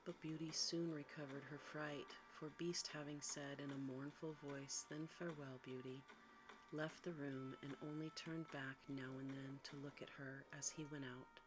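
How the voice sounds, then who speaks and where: A woman reading a sleep story in a soft, quiet ASMR style, gently and without hurry, at times slipping into a breathy whisper. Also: low voice, little pitch movement, moderate pace, hardly any pauses, music in the background, rough recording. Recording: faint background noise.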